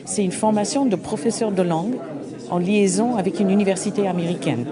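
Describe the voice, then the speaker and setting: A middle-aged woman, an English speaker, answering interview questions at an open day, halting and searching for words with frequent restarts. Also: mid-range voice. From United States. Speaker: association representative. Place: busy open-day event. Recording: crowd noise behind. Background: crowd chatter.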